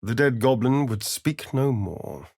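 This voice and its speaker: An actor voicing a sly, vain vampire rogue for a video game, with an English accent and an arch, theatrical, drawling delivery. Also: male voice, medium pitch, lively intonation, a little slow, vocal fry, darkish tone. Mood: hushed narrating.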